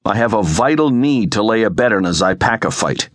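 A man's voice: fairly low pitch, expressive, fairly quick, dry recording, lightly acted. A man voicing a confident, wry male special-operations leader in an audiobook adventure story. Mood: casual. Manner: assured, wry.

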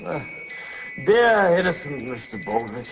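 An actor playing a kind, overly generous Victorian shopkeeper who is politely effusive. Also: male voice, fairly high pitch, very animated, a little slow, muffled recording, over loud music. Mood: genteel.

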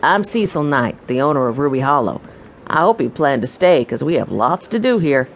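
A woman's voice: very deep voice, big pitch swings, normal speed, a little hiss or hum, pitched below the performer's own voice, heavily acted. A woman voicing a friendly, businesslike man with a folksy, salesmanlike manner in a children's audiobook. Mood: welcoming, informative. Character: friendly businesslike man, owner of gem mine.

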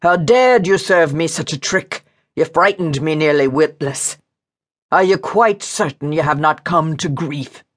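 A woman voicing an overbearing, angry Regency gentleman who scolds in blustering, archaic upper-class language. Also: low voice, unhurried. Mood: scolding.

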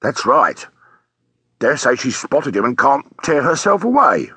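A man's voice: mid-range voice, sing-song, unhurried, sharp tone, husky, no room sound, full character voice. A man voicing a polite, placid police constable in an audiobook, mild and slightly formal, soothing in manner. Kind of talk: audiobook character voice.

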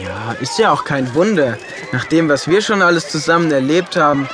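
Man playing a bright-pitched, good-natured schoolboy. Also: expressive, normal speed, crowd noise, lightly acted. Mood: sheepish. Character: good-natured schoolboy Chipper, giggly, bashful best friend. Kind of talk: German children's audio drama.

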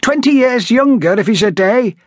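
A woman's voice: fairly high pitch, lively intonation, normal speed, no echo, studio quality, voice pushed up. A man doing a piercing, fretful old woman. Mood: pleading. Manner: piercing, pleading. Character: thin anxious older woman, fretful and insistent.

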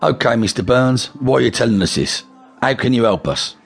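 Brief: contemptuous gangster twin, voiced by a male narrator; blunt, foul-mouthed, husky. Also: mid-range voice, lively intonation, fairly quick, soft background music, pitched above the performer's own voice.

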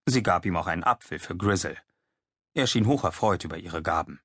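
A man's voice: deep voice, expressive, fairly quick, fairly bright tone, breathy edge, no echo, clean studio sound. A man in his forties reading scripted literary narration as an audiobook narrator. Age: 40s.

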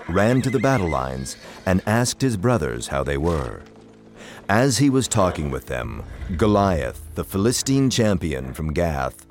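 A man narrates scripture as scripted drama. His voice is deep, with widely varied intonation. Music plays clearly behind him.

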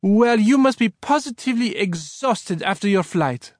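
Guy doing a bubbly middle aged french lady, thick french accent, high voice, super welcoming, audiobook style. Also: animated, normal speed, no room sound, clean studio sound. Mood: bright, welcoming. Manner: effusive, bright. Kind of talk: audiobook character acting.

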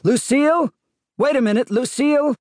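A man's voice: on the high side, animated, unhurried, no echo, clean studio sound, voice pushed up. A man voicing a good-natured husband of nearly fifty, exasperated and overdoing his crossness. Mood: shouting. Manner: loud, exasperated, shouting.

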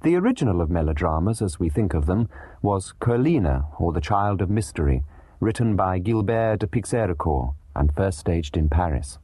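Animated, mellow man narrating. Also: deep voice, slightly rough, dry recording. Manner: measured, literate, dramatic emphasis. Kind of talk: scripted documentary narration.

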